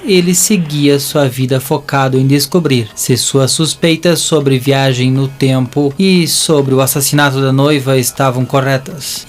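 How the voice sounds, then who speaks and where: A man with a Brazilian accent narrating a scripted audio drama as a deliberate storyteller who addresses listeners. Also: mid-range voice, slight background noise. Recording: voice over a music bed.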